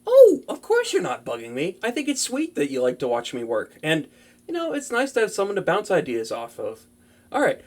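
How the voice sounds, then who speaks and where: Performer acting a young fantasy wizard, earnest, flustered, anxious stammer, chatty, very lively pitch, intimate audio drama.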